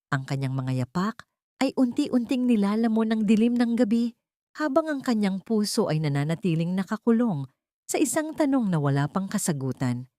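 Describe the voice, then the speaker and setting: A woman's voice: lowish voice, darkish tone, no echo, studio quality. A woman narrating a scripted, dramatic story as an audiobook reader.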